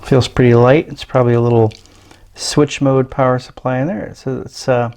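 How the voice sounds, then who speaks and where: A middle-aged American man giving a casual, unscripted product walkthrough in a low-key, hesitant way. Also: little pitch movement, normal speed, a little mellow. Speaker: gadget reviewer. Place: small indoor room at a tabletop. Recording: clear background noise with clicks.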